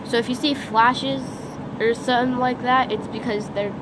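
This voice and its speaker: A woman's voice: a little high, steady tone, moderate pace. A teenage girl with a stuffy nose and sore throat, rambling unscripted in a casual vlog, filled with "like", filmed outdoors on a phone. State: congested, sniffly, sore throat. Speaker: vlogger. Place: outdoors next to a lake, during a lightning storm. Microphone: phone camera. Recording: outdoor phone recording with background noise. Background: outdoor background noise, thunder.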